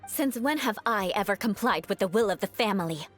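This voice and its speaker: A woman voicing a proud young noblewoman knight in a video game, composed and defiant, with formal, measured, coolly disdainful delivery. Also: medium pitch, lively intonation, soft background music, lightly acted. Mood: cool defiance.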